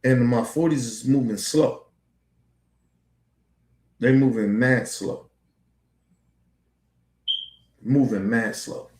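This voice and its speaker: A man's voice: medium pitch, slow pace, darkish tone, echoey room. A man in his forties talking in a casual, rambling monologue as a podcast host, heavy on street slang and profanity. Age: 40s.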